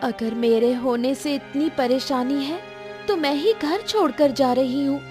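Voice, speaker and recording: Lady doing a stubborn outspoken village girl for a cartoon, high voice, unhurried, music clearly behind. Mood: defiant. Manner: defiant, earnest. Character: outspoken, determined young village daughter. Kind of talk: Hindi cartoon voice acting. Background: background music.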